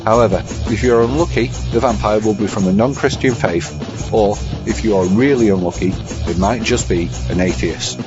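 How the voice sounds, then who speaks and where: A man presenting a scripted essay segment in a measured, formal, bookish way. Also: lowish voice. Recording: muffled audio with music behind.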